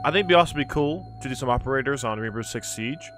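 A young adult man speaking casually and excitably as a gaming video host, swearing at times, close to a desk mic. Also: medium pitch, fast. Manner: animated, swearing, prone to yelling. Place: small furnished room at home. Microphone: close desk mic on boom arm, with headset. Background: music.

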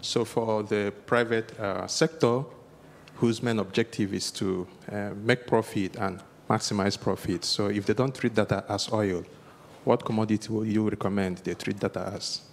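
A man in the audience politely asking a measured question after a lecture. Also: lowish voice, fairly even intonation, long reverb tail, a little hiss or hum. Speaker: audience member.

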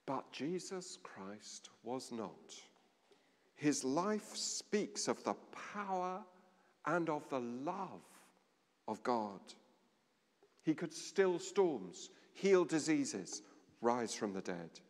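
A middle-aged man giving a sermon at a lectern in a large stone church, measured, with dramatic pauses and a voice that drops low. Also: sing-song. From England. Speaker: vicar. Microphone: standing at a lectern, far camera. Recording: long church reverb.

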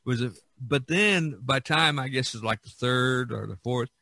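A man hosting a podcast in a casual way, often halting and repeating his words. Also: mid-range voice, vocal fry, pushed and bright, dry recording. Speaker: podcast host.

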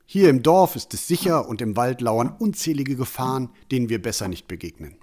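Man as protective village father, mid-pitched. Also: animated, a little fast, a little mellow, close to an everyday voice. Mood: admonishing, resigned. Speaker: character in a fantasy audio drama.

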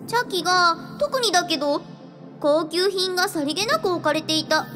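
A woman's voice: extremely high pitch, expressive, normal speed. A woman acting a coy, bubbly, girlish female spirit in a radio drama, cutesy and sweetly wheedling. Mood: chatty, explaining. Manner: cutesy, speaks of herself by name. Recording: music behind the voice.

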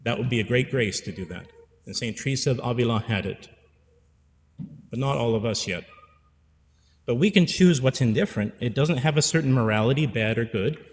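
Earnest priest preaching in reverberant church. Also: male voice, mid-range voice, fairly quick, long pauses, a little hiss or hum. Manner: earnest, pastoral, repeats phrases for emphasis. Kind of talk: sermon. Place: church sanctuary. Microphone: stand mic at pulpit.

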